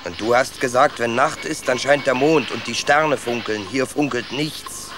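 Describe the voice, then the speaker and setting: A man's voice: medium pitch, normal speed, close to an everyday voice. Man as curious young rat, noisy ambience. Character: adventurous, curious, stubborn young male rat narrator. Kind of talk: first-person storytelling in children's radio play. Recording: clear ambient effects behind.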